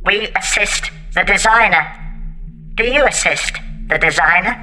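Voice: medium pitch, unhurried, sharp tone. An actor playing a literal-minded squid alien in an armoured exoskeleton for a science fiction audio drama, formal and apologetic, with an electronically processed voice. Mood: formal, flat. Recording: music behind.

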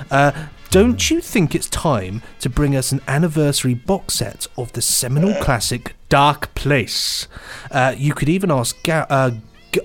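A British man co-hosting a podcast in casual comic chat, rambling along with jokey enthusiasm. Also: medium pitch. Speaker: podcast co-host.

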